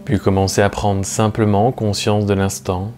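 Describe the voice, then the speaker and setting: Low-voiced man guiding relaxation over music. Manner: slow, calm, soothing, measured with long pauses. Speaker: guided meditation teacher. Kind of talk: guided relaxation narration. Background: soft plucked guitar music.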